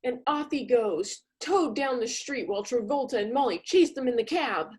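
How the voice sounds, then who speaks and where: A woman's voice: on the high side, lively intonation, moderate pace, a little mellow, breathy edge. A woman comedian narrating scenes in a comedic radio play parody.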